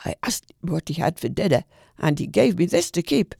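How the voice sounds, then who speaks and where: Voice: mid-range voice, big pitch swings, brisk, gravelly, darkish tone, breathy edge, no echo, clean studio sound, full character voice. A storyteller voicing a cheeky young elephant calf. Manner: polite, then boastful.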